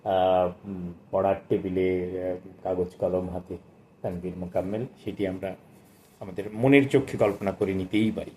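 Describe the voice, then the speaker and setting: A man in his sixties presenting a book of poetry, speaking and reciting in a measured, expressive way with formal literary diction. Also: low voice, slight background noise. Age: 60s.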